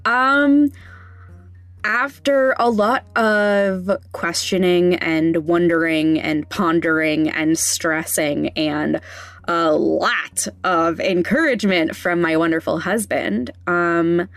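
Woman, lowish voice, slow halting delivery, candid casual podcast host, self-conscious, music behind. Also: fairly even intonation, no echo.

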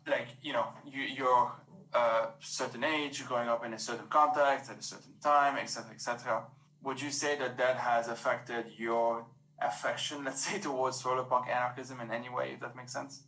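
A man's voice: medium pitch, fairly even intonation, moderate pace, pushed and bright, rough recording. A man from Lebanon hosting an interview, asking rambling, hesitant questions. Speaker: podcast host. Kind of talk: casual interview talk.

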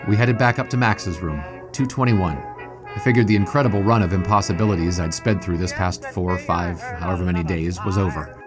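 A man playing a dry-witted, younger 1920s sportswriter in an audio drama, measured and wry. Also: sits low, music in the background, close to an everyday voice. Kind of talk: audio drama acting with first-person narration.

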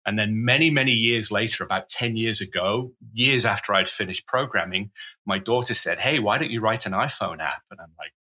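A middle-aged Welsh man with a fairly low voice and a bright, forceful edge. He talks casually as a podcast guest and trails off into self-deprecating asides. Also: brisk, breathy edge. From Wales. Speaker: guest, cybersecurity evangelist.

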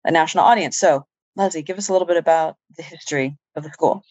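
A woman's voice: low-pitched, fast, a little mellow, dry recording, muffled recording. A woman hosting an interview show, welcoming and upbeat, affirming her guest as she talks. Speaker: host.

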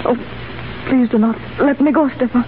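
A woman's voice: fairly high pitch, steady tone, normal speed, background noise, lightly acted. An actress playing an earnest, guarded Russian woman torn between love and duty in 1930s radio drama, speaking formally and carefully. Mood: troubled, tender. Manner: formal, careful diction without contractions. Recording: tinny 1930s radio broadcast with music.

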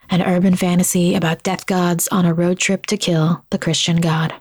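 A woman hosting a podcast, reading scripted outro announcements and credits in a steady, even manner. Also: lowish voice, no room sound. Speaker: podcast host.

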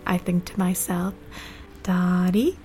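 A woman's voice: fairly low pitch, steady tone, takes it slowly, warm tone, soft background music. A woman playing an anguished, defiant teenage girl in love, reading her diary in a dramatic monologue. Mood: dreamy, tender.

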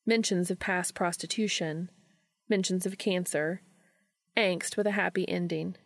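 Creaky-voiced woman narrating a story. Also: lowish voice, fairly even intonation, a little slow. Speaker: audiobook reader of fan fiction.

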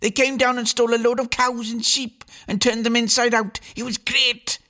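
A man doing a shrill cheeky little boy. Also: little pitch movement, moderate pace, sharp tone. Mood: excited. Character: boastful gory young boy, youngest child.

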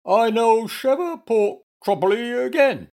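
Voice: mid-range voice, lively intonation, a little slow, darkish tone, voice pushed up. A storyteller voicing a sulky little toy bear in a children's story, muddling words as it whines and groans. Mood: indignant. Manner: pretends illness, groaning spoonerisms.

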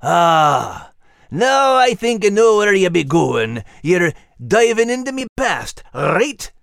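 A gruff little Scottish dog for a children's audio story. The delivery is very animated, with a blustery, puzzled manner and a warm streak. The sound is dry and close. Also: male voice, high voice, slow, no echo, full character voice. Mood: curious, wry. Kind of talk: scripted character dialogue in a children's audio story show.

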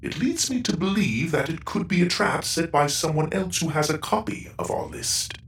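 A man's voice: a little high, animated, normal speed, a bit bright, reverberant, slight background noise, voice pushed up. A man voicing a prim, precise robot. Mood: measured. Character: polite formal male robot conductor.

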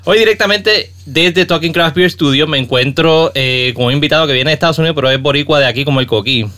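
Puerto Rican man hosting, clear smooth voice. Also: a little high, fast, pushed and bright. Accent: Puerto Rican Spanish. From Puerto Rico. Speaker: podcast host and interviewer. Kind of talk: casual conversational interview.

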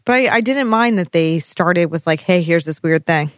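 Co-host, middle pitch, rambling, hunts for words, dry close sound. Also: lively intonation, normal speed, a bit bright, no room sound. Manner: rambling, trails off searching for names. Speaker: podcast co-host. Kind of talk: casual TV episode recap chat.

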